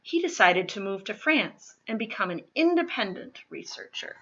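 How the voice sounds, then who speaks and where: A woman reading a children's nonfiction picture book aloud, with deliberate, emphatic phrasing for young listeners. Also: medium pitch, big pitch swings, fairly bright tone, highs cut off. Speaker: read-aloud reader.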